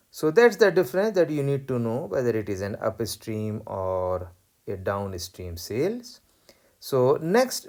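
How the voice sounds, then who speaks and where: A man lecturing on accounting, explaining worked exercises step by step in a deliberate way and repeating key points. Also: fairly low pitch, a little mellow. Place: voice-over recorded over tablet screen.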